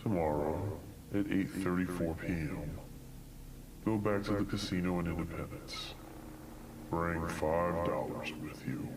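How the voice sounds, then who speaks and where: A man playing a sinister, hungry entity inside a television in an analog horror film, coaxing and menacingly polite, with breathy whispers. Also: deep voice, measured pace, background noise. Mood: calmly instructing. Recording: loud music under the voice.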